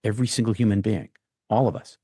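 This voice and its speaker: A very deep, mellow male voice from the United States, recorded dry and close. He talks as an interview guest in a reflective, rambling way, with frequent ums and restarts as he works through his thoughts. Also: fairly even intonation, fast, no room sound. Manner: rambling, thinks aloud with many ums and restarts.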